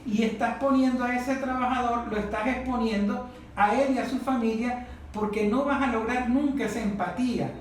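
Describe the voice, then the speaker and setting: A Venezuelan speaker leading a group discussion in an emphatic, didactic political style, repeating phrases for emphasis. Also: medium pitch. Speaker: discussion leader. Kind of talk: impassioned political talk to a group. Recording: very echoey room, faint noise.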